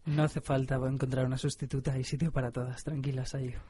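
A man's voice: medium pitch, level tone, brisk, warm tone, breathy edge, lightly acted. A man playing cocky anime heartthrob Nobu. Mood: dismissive. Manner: smug, suave, self-important. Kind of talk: improvised manga-parody radio comedy acting.

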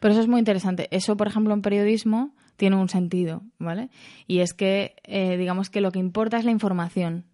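A woman's voice: medium pitch, fairly even intonation, a little fast, mellow. Woman, from Spain, thoughtful, conversational, hesitant restarts. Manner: thoughtful, many fillers and restarts. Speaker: podcast panelist. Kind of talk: casual reflective discussion.

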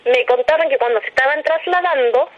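A woman's voice: sits high, fairly quick, muffled recording. A Chilean woman being interviewed, casually recounting something she was told in a recorded audio. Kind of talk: casual spoken recollection.

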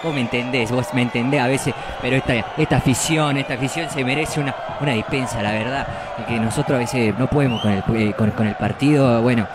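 A man doing a cocky, rambling footballer who puts on a fake Argentine accent. The voice sits mid-range, with a loud crowd and heavy street noise all around it. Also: medium pitch, fairly quick, few pauses, close to an everyday voice. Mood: excuse-making. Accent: put-on Argentine (Rioplatense). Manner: cocky, rambling, full of hesitations. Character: Mexican footballer faking an Argentine accent, male, adult, cocky.

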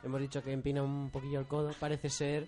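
A man's voice: mid-range voice, monotone, fast, warm tone, soft background music. A man hosting a radio show, asking guests playful, hesitant questions. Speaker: radio host. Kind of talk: interviewing guests.

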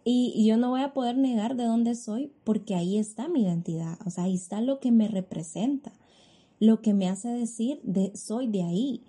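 A woman's voice: on the high side, normal speed, mellow, husky. A woman host with a Honduran accent reflecting on faith in a preaching-style way. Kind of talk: faith talk show reflection.

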